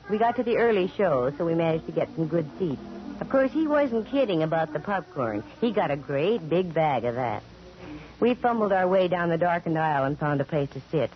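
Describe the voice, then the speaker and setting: Wry girl detective, vintage radio. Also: low voice. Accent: American. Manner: dry wisecracking, wry. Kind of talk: 1940s radio acting, first-person narration. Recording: muffled vintage 1940s radio broadcast.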